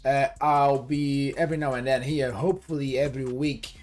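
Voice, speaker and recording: A man's voice: mid-range voice, steady tone, moderate pace, darkish tone. A Brazilian-accented man and non-native English speaker, explaining networking in a casual tutorial, chatty and full of fillers, acting out little dialogues. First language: Portuguese. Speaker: networking instructor.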